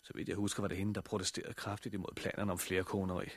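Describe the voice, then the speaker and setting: Practical level headed hero, slightly low voice, bit wry, old radio play. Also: male voice, level tone, dry recording. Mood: guarded. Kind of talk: 1960s radio drama acting.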